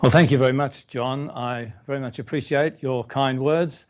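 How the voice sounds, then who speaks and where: A man's voice: medium pitch, fairly even intonation, moderate pace, dull audio. A man with an Australian accent, from Melbourne, preaching as a pastor and telling a story, with frequent hesitations. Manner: storytelling, many uh fillers. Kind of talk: sermon.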